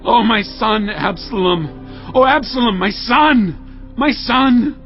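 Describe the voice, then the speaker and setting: An anguished king of ancient times in a dramatized scripture recording. His voice swings widely in pitch over soft music, and the sound is slightly dull. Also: expressive, slow, exaggerated voice. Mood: wailing grief. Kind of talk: dramatized Bible acting. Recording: dull lo-fi audio.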